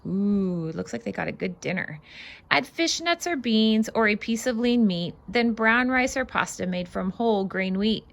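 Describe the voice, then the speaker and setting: A woman reading a children's picture book aloud as host, expressive and sing-song, stressing the rhymes and adding casual asides. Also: medium pitch, moderate pace, vocal fry.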